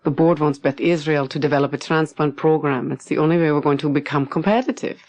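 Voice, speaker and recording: A woman's voice: low voice, fast talker, a little mellow, no room sound. A woman narrator voicing a romantic, playful older man, a hospital director, in an audiobook story. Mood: fond, placating.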